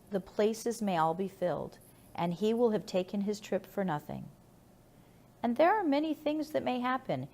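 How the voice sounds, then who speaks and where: A woman reading scripted nonfiction aloud like an audiobook, with measured, deliberate phrasing. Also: medium pitch, darkish tone. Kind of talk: audiobook-style scripted narration.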